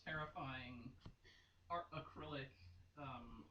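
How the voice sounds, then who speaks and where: A woman's voice: extremely low pitch, takes it slowly, sharp tone, distant mic, dull audio. A woman chatting casually with a friend in a silly, self-deprecating way. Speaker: guest reader. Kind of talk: casual banter between friends. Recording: noisy, roomy, off-mic home recording.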